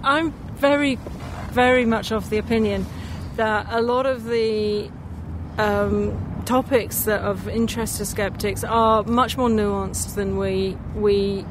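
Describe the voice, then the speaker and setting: A woman's voice: mid-range voice, fairly even intonation, takes it slowly. A woman being interviewed as a speaker, talking casually and thoughtfully in a park, with some hesitant phrasing. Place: outdoors in a park. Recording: outdoor recording, clear traffic noise.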